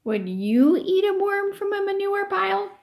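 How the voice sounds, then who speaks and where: Woman reading as an indignant, high-pitched young boy. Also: sing-song, a little slow, heavily acted. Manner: protesting, emphatic. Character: indignant, stubborn young boy arguing for fairness. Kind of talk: children's storybook read aloud.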